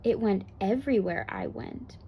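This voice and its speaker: Fond grandmother read by a woman for a picture book, playful and gently teasing, mid-range voice. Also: medium pitch, animated, moderate pace, vocal fry, husky, heavily acted.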